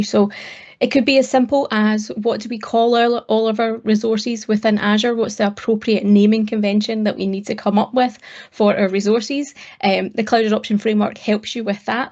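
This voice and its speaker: A woman in her thirties with a Scottish accent, a guest calmly explaining technical ideas in a measured, conversational way with occasional ums. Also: mid-range voice, creaky. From Scotland. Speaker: guest, cloud advocate. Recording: dull lo-fi audio.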